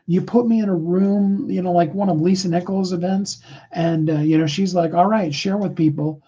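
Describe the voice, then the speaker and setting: A middle-aged man with a high tenor voice, giving a rambling, earnest motivational talk on a live call, full of fillers. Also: steady tone, normal speed, warm tone, husky, highs cut off.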